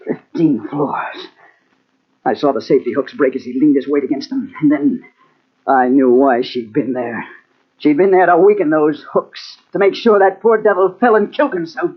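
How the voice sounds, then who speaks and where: A man's voice: a little high, moderate pace, breathy edge. An actor playing an obsessed male investigator who narrates his own story in a dramatic, hardboiled old-time radio mystery style. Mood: brooding narration. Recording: dull, muffled old radio tape.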